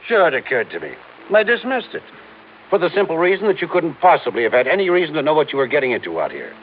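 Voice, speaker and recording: An American actor playing a smug, sardonic gangster boss in an old-time radio crime drama, mock-polite and commanding. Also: male voice, fairly high pitch, big pitch swings, quick pace. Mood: menacing, sardonic. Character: cocky, sardonic male gangster boss, menacingly cheerful. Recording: tinny, hissy vintage radio recording.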